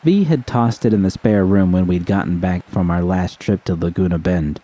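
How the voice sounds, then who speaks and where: A man's voice: low-pitched, normal speed, dark tone. A man reading a scripted first-person story as narration. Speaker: audiobook reader of fan fiction.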